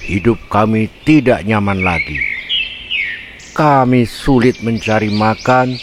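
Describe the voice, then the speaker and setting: A man's voice: on the low side, slow, background noise, pitched above the performer's own voice. A man voicing an angry otter leader who scolds and accuses in a children's fable. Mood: angry, accusing. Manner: scolding, indignant.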